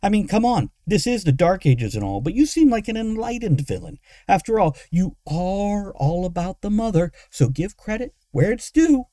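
Man voicing a snappy, high-pitched adventurer woman. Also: big pitch swings, moderate pace, hardly any pauses, dark tone, no echo. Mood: mocking. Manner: sarcastic, exasperated, snappy. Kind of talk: dramatised fantasy audiobook.

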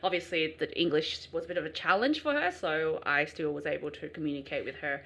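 An Australian woman with a bright, forceful voice, chatting casually as a podcast host while she is interviewed. She laughs a lot and tells her stories with lively energy. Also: deep voice, a little fast. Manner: laughs often, lively and animated.

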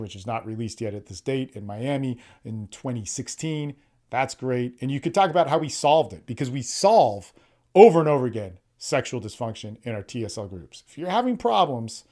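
A man's voice: mid-range voice, darkish tone. A man giving an informal motivational coaching talk in an emphatic, blunt way, swearing freely and repeating phrases to drive points home.

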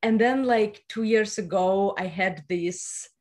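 A woman's voice: medium pitch, takes it slowly, darkish tone. A woman in her thirties from Ukraine with a Ukrainian accent, a podcast guest talking eagerly with frequent ums and laughs. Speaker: guest, sex educator and coach. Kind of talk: casual podcast interview.

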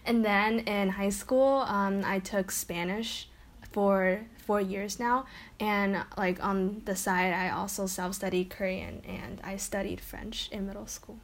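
A teenage girl from China whose first language is Chinese, co-hosting a podcast in a measured, thoughtful way. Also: medium pitch. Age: teens. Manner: measured, thoughtful, affirming "mm-hmm". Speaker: podcast co-host. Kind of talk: casual chat.

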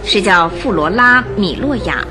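A woman's voice: medium pitch, lively intonation, moderate pace, music in the background. A woman narrating a radio drama, reading scripted story and news reports.

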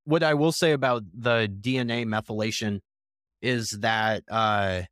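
A man around thirty speaking as a podcast guest, explaining research in a measured, conversational way with pauses between phrases. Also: lowish voice, a bit bright, clear, resonant tone, no room sound, clean studio sound. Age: 30s.

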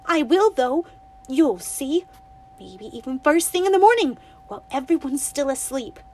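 Fields: Figure: woman playing a restless young girl. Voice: high, wistful. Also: expressive, normal speed, warm tone. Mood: defiant. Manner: pleading, then defiant.

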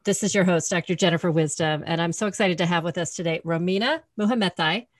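A woman's voice: fairly low pitch, fast, no room sound, clean studio sound. A woman hosting an interview podcast in an upbeat, encouraging, conversational way. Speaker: podcast host.

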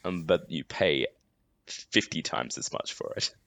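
Deep, gravelly man, slightly croaky. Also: unhurried, no echo. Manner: relaxed, self-deprecating, frequent "uh" fillers. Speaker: podcast co-host. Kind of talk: casual chat.

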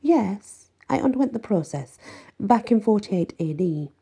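A woman reading an audiobook, voicing a smug, unbalanced ancient male mummy with slow theatrical delivery. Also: medium pitch, animated, gravelly, dark tone, husky, voice pushed up, exaggerated voice. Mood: smug, boastful. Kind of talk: audiobook character voice.

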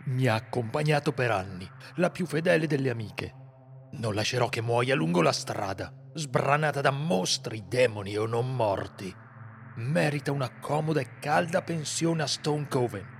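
Un uomo interpreta un cacciatore severo e inflessibile, dalla voce graffiante e profonda. Parla in tono grave, con un leggero rumore d'ambiente sullo sfondo. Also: male voice, mid-range voice, moderate pace, creaky, a little hiss or hum, close to an everyday voice. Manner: grave, firm. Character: stern, law-bound male monster hunter. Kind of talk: Italian radio drama acting.

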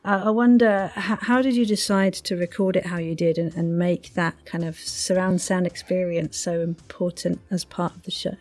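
Woman podcast host, rambling, fairly low voice. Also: steady tone, moderate pace, hardly any pauses, darkish tone. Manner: rambling, effusive. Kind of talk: casual interview chat.

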